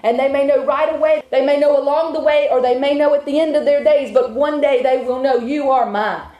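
Woman, preacher, sermon, rhythmic repetition, higher voice, reverberant room. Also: fairly even intonation, a little fast, dull audio. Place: church with a congregation. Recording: echoey room.

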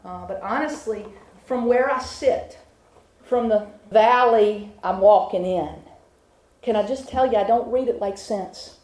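A woman's voice: medium pitch, a little slow, a little mellow. A woman preaching a sermon to a congregation, building her points with rhythmic repetition. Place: church with a congregation. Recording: echoey room.

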